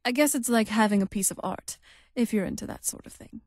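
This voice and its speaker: A woman's voice: a little high, fairly quick, darkish tone, no echo, studio quality, natural voice. An actress playing a wistful, young-looking vampire noblewoman in a video game, speaking in a conversational way. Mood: reflective. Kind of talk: video game character dialogue.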